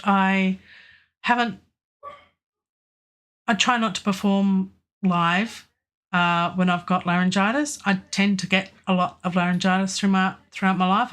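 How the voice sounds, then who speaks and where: Aussie woman rambling on a live stream about her track, lots of ums, voice a bit rough from a cough. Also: medium pitch, a bit bright. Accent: Australian. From Australia. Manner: chatty, rambling, many ums and restarts. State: recovering from a virus, lingering cough and weak voice. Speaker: music producer streaming live.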